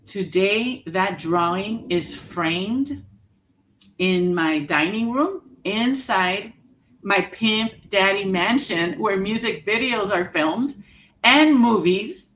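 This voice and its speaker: Woman, forties, motivational speaker, unhurried delivery, emphatic, repetitive phrasing, mid pitch. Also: a bit bright. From Mexican heritage, raised in the US. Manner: slow, emphatic and repetitive, with laughs at herself. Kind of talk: inspirational speech.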